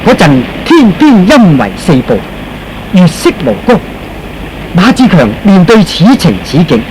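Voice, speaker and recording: A man's voice: high-pitched, huge pitch swings, measured pace, a little mellow, slight background noise, poor audio. A man narrating a scripted radio drama as a storyteller, theatrical and emphatic in delivery.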